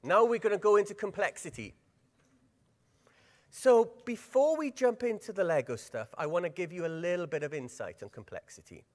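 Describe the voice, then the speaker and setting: A middle-aged man with a Welsh accent running a workshop at a conference, lively and engaging, putting questions to the audience. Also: high-pitched, normal speed. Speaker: workshop presenter. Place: large conference hall. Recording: long hall reverb.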